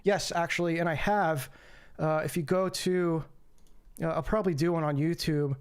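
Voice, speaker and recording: A man's voice: fairly high pitch, steady tone, normal speed, clean tone. Man hosting a rambling livestream. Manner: rambling, lots of fillers and restarts. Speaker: livestream host. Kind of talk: casual call-in livestream commentary. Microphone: home streaming mic.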